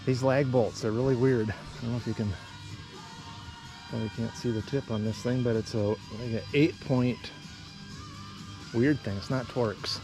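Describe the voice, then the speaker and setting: A man's voice: mid-range voice, fairly even intonation, normal speed, long pauses, music in the background, low-quality recording. A man giving an offhand, plainspoken narration of his building work, thinking aloud as he goes outdoors. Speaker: builder narrating own construction project. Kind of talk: casual DIY work narration. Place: outdoor building site beside a garage.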